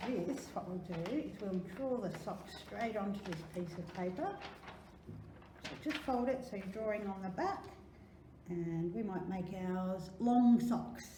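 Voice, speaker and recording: A woman's voice: medium pitch, a little slow. An Australian woman presenting to children, talking through craft steps in a chatty, singsong, encouraging way. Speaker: library storytime presenter. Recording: echoey room, faint background noise.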